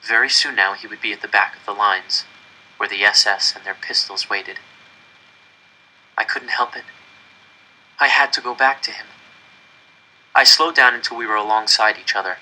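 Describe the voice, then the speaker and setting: A man's voice: fairly low pitch, steady tone, moderate pace, pauses often, sharp tone, a little hiss or hum, rough recording. A man reading a first-person story aloud dramatically, with an earnest, intense and emphatic delivery. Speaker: audiobook reader.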